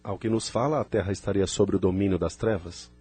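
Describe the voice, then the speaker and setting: A man's voice: deep voice, fairly even intonation, a little fast, mellow, husky. A man with a Brazilian accent playing an earnest, formal spirit helper in an audio drama. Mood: earnest, indignant. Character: earnest male spirit helper, easily astonished. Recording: music bed behind the voice.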